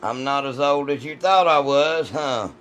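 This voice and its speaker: A man with a deep, rough voice chats casually and jokingly with his livestream audience in a folksy, salty way. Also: measured pace, fairly bright tone, a little hiss or hum. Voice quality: deep, rough voice from vocal cord polyps. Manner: folksy, joking, foul-mouthed. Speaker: livestream host reading and answering chat.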